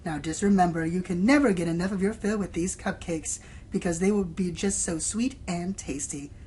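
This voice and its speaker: A woman's voice: lowish voice, normal speed, mellow, slight background noise, lightly acted, rough recording. A woman playing a sweet, chatty pony baking host giving a singsong recipe in a radio play.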